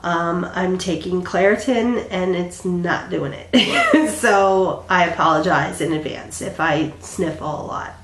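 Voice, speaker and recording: Congested woman in her thirties, casual rambling vlog chat, lowish voice, echoey room. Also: animated, slow pace. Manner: rambling, many ums and restarts. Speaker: flosstube vlogger.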